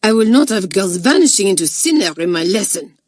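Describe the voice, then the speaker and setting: A woman's voice: mid-range voice, animated, normal speed, a little mellow, no room sound, lightly acted. A woman putting on a bad-tempered French schoolmistress. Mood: commanding. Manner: imperious, snapping.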